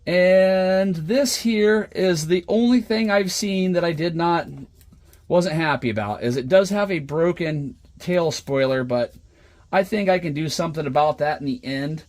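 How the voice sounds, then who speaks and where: Higher-pitched man chatting casually as he works. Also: a little slow, darkish tone, no echo. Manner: unscripted, thinking aloud as he works. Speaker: hobbyist presenter. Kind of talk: casual show-and-tell vlog. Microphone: smartphone camera at the workbench.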